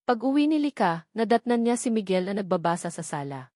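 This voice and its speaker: A woman's voice: mid-range voice, sing-song, a little fast, a little mellow, dry recording, studio quality. A woman narrating a scripted dramatic story.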